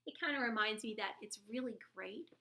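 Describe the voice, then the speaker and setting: A woman reading a children's story aloud with expressive narration. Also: fairly high pitch, brisk. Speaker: storybook reader.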